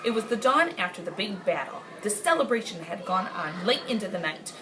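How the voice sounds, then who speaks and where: A woman with a medium-pitched voice reads a children's story aloud. Her intonation is lively and expressive, moving widely through the narration. There is clear noise in the recording. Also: brisk. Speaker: story reader. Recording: clearly noisy amateur recording.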